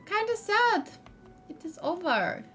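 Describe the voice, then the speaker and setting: A woman's voice: very high-pitched, big pitch swings, takes it slowly, a bit bright, faint music behind. A woman streaming a let's play, giving casual, chatty commentary and musing aloud as she goes. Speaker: gaming streamer.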